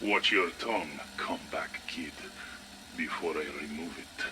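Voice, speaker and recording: An actor playing a stern, proud, threatening agent in a game audio drama, with formal, weighty phrasing. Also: male voice, rumbling low, measured pace. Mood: stern, grudging. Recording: noisy vehicle-like ambience behind voice.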